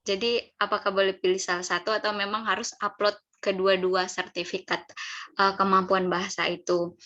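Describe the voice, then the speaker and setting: A woman's voice: mid-range voice, flat delivery, moderate pace, pushed and bright. A young woman asking questions politely and methodically at an online seminar, in a careful, deferential way. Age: young adult. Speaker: audience member asking questions. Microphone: online call, camera off. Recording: slightly dull video call audio.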